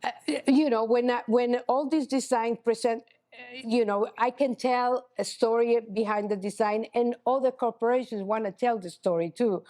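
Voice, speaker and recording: A middle-aged woman, a chocolatier guest speaking in a casual interview, halting with many restarts and "you know". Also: on the high side, fairly even intonation, warm tone. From Honduras. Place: chocolate shop cafe.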